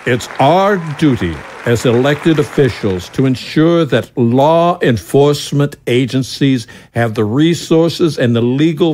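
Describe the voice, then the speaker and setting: An actor playing an earnest congressman giving a formal, measured speech in an audio drama. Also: mid-range voice, darkish tone, lightly acted. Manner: measured, oratorical. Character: solemn, earnest congressman addressing his colleagues.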